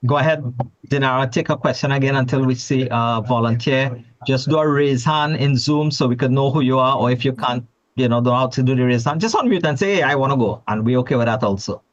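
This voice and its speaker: Trini guy presenting on a video call, mid-pitch voice, muffled lo-fi audio, chatty, lots of restarts. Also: fast talker. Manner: chatty, repeats words when restarting. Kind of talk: conversational forum presentation.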